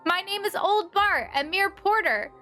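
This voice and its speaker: A woman's voice: extremely high pitch, animated, unhurried, pushed and bright, soft background music, exaggerated voice. A woman voicing a cheeky little thief who poses as a frail old man, wheedling and theatrical, in a video game. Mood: feigned innocence.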